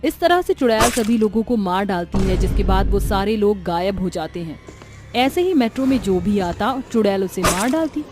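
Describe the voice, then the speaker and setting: A woman narrating a scripted cartoon horror story in a steady, dramatic storytelling manner. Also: medium pitch, moderate pace, over music.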